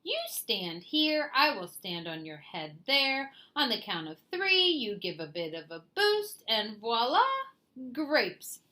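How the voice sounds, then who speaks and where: A woman's voice: on the high side, sing-song, slow pace, bright, forceful tone, heavily acted. A woman voicing a sly, smug male fox in a children's storybook, boastful, wheedling and condescending. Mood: bossy, scheming. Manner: boastful, theatrical, wheedling and dismissive.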